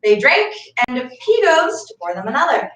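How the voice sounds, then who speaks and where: A woman's voice: sits high, sing-song, moderate pace, a bit bright. A woman reading stage directions as scripted narration at a staged play reading. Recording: very echoey, distant, faintly noisy room.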